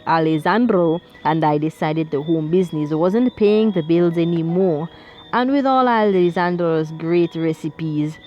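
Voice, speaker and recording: A woman's voice: fairly low pitch, highs cut off. A woman voicing a jovial middle-aged male Italian restaurateur with a put-on Italian accent, effusive and teasing.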